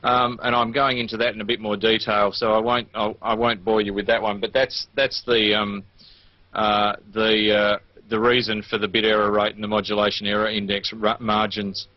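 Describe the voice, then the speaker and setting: A man in casual unscripted technical chat, talking in a chatty, halting way. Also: fairly low pitch, level tone, dry recording. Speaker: amateur television operator. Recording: dull, dry lo-fi transmission audio.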